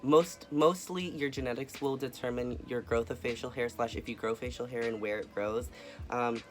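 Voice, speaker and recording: A young man talks softly and earnestly into his camera, filling gaps with ums and uhs. His voice sits at an ordinary middle pitch for a man. Light music plays faintly behind him. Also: steady tone, normal speed. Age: young adult. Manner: soft-spoken, earnest, with frequent fillers. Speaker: vlogger. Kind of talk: casual personal update.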